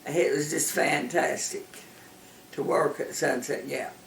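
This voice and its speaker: An older woman talks slowly and plainly about her working years. She speaks warmly. The room echoes and the mic is distant. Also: low-pitched, fairly even intonation, gravelly, slight background noise.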